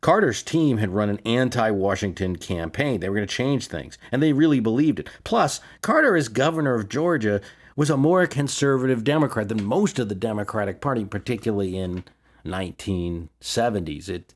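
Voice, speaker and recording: A man with a fairly low voice delivers political history commentary as a podcast host. He speaks conversationally, making his case with emphasis and putting questions to the listener as he argues. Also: animated, moderate pace.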